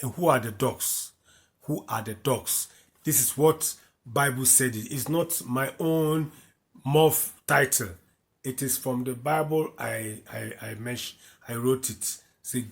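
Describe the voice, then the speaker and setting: A man from Africa, teaching from the Bible by reading verses aloud and then explaining them, repeating key lines. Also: mid-range voice. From West Africa. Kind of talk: slow, repetitive Bible commentary.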